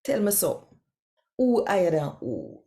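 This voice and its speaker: Jamaican female devotional host, storyteller delivery, creaky voice, slow measured pace. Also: on the low side, wildly swooping pitch, mellow. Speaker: host and scripture reader. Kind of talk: devotional reading in Jamaican Patois.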